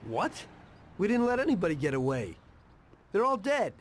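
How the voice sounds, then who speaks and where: An understated, reluctant young mobster. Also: male voice, sits high, very animated, brisk, slight background noise. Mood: defensive, alarmed. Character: reluctant young male mobster, loyal but troubled. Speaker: mobster protagonist, former taxi driver. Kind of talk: video game voice acting.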